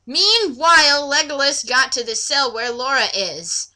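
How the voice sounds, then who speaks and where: A woman's voice: high-pitched, expressive, measured pace, sharp tone. A woman giving a mocking dramatic reading of amateur fan fiction, breaking off into exasperated, sweary asides. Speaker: reader.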